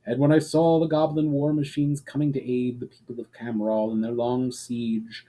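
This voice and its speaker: A man voicing a weary, grieving old elven general in a storybook reading, speaking in a quiet, measured, solemn way. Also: medium pitch, level tone, lightly acted. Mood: sombre.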